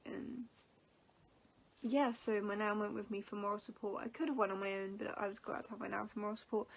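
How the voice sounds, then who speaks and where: A woman's voice: mid-range voice, creaky. Sniffly young English woman vlogging. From England. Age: 20s. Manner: rambling, many fillers and restarts. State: sniffly from allergies. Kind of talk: casual storytelling vlog.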